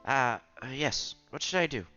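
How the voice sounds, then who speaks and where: Guy streaming a visual novel read-through, super animated, slightly dull headset sound, music behind him. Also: medium pitch, normal speed. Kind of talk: casual read-aloud with offhand commentary. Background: soft music.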